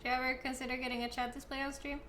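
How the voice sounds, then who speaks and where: A woman's voice: sits high, little pitch movement, racing, bright, forceful tone. A young Norwegian woman with a Norwegian accent streaming a video game, chatting casually and answering chat, a little self-deprecating. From Norway.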